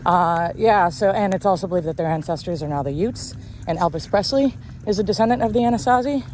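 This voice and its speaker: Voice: mid-range voice, fast talker, a little mellow, slight background noise. A person talking casually as a partner in an informal conversation. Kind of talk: casual chat. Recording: music playing behind the voice.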